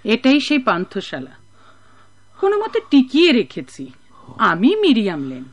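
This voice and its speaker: An actress playing a kindly, hospitable innkeeper in a radio drama, fussing and scolding with care. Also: female voice, on the high side, normal speed. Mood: curious. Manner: expressive, fussing, scolding yet caring. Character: kindly, motherly woman innkeeper, brisk but hospitable. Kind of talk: Bengali radio drama acting.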